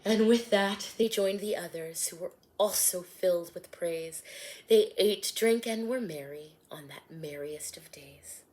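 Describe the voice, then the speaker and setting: A woman reading her own rhyming storybook aloud in a gentle, soft-spoken way, some words dropping to a hush. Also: fairly low pitch, measured pace.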